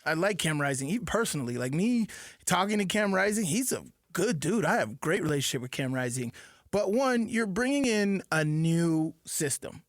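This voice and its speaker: Male radio co-host, ex-player, higher pitch, emphatic, dry mic. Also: animated, dry recording. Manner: quick agreeing interjections, emphatic repeats. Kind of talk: casual sports talk.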